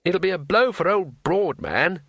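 A man's voice: high voice, big pitch swings, unhurried, creaky, a bit bright, husky, dry recording, studio quality, voice pushed up, full character voice. A man voicing a naive, polite and anxious tourist in a storybook reading, earnest and plaintive.

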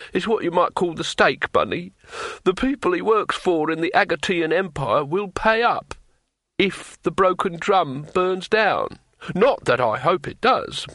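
A man's voice: a little high, pushed and bright, no echo, voice pushed up, exaggerated voice. A man doing a nervous, stammering fat innkeeper. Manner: stammering, flustered, hesitant.

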